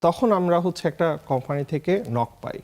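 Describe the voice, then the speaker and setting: A man's voice: on the high side, animated, normal speed, darkish tone. A man with a Bangladeshi accent talking casually as an interview guest, often switching into English phrases. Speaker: interview guest, food vlogger.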